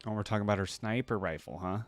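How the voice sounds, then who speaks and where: A man's voice: lowish voice, rapid-fire. A man hosting a reaction video, commenting casually in an amused, slangy, informal way.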